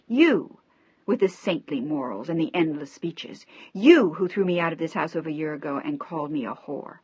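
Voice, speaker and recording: A woman voicing a furious young daughter who shouts and sobs her accusations, in a dry, slightly dull recording. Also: on the low side, dry recording.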